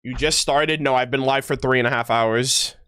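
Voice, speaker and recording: A man giving casual livestream commentary, rambling and halting as he thinks aloud. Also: medium pitch, fairly even intonation, fairly bright tone, smooth, no echo, clean studio sound. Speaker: streamer. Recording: music playing behind voice. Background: music.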